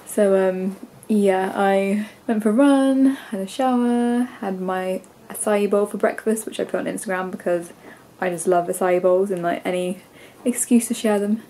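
A woman's voice: medium pitch, fairly even intonation, normal speed, few pauses, warm tone. A young English woman in her twenties chatting casually to a handheld camera in her bedroom, congested and rambling with lots of ums and likes. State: congested, stuffy nose. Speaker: vlogger. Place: bedroom at home. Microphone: camera held at arm's length.

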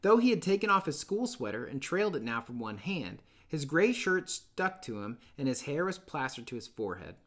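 A man in his thirties teaching and reading aloud in an expressive, emphatic, explanatory way. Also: fairly high pitch, normal speed, hardly any pauses. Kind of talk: explanatory lesson and storybook narration. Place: small dim room indoors.

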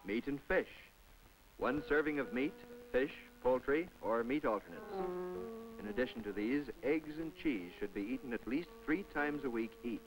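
A man's voice: mid-range voice, moderate pace, low-quality recording. A man narrating a public information film in a scripted, chatty voice-over with folksy asides to the viewer. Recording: old film soundtrack with faint music.

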